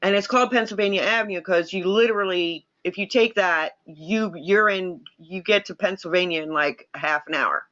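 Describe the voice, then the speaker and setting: A woman from New York hosts a casual livestream and tells long, rambling stories straight to her viewers. Her voice is bright and forward. The audio is a little muffled and lo-fi. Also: lowish voice, moderate pace, hardly any pauses. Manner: chatty, rambling storyteller who addresses viewers. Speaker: livestream host. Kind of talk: casual chat.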